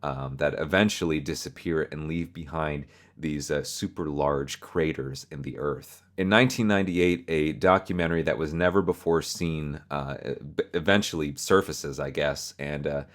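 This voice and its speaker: A man's voice: extremely low pitch, normal speed, few pauses. A man hosting a video, narrating and explaining a fictional horror story.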